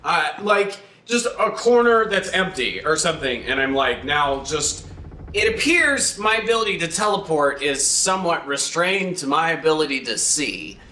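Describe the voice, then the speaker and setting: A man playing a tabletop roleplaying game, talking casually and in a rambling, animated way. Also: on the high side, moderate pace. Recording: roomy, distant mic, faint noise.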